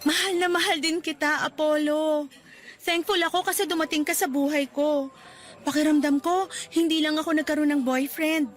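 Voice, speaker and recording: A woman's voice: high-pitched, steady tone, normal speed, faint music behind, natural voice. A woman acting a sweet, playful young girlfriend in a radio drama. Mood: affectionate. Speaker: voice actress.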